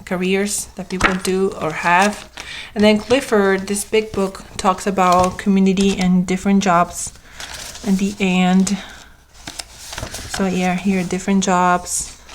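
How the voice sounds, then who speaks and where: A young adult woman with a slightly hoarse voice, chatting casually as she shows her homeschool materials in a vlog, with frequent ums and restarts. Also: medium pitch, slight background noise. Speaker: homeschooling mom vlogger. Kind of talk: casual show-and-tell vlog.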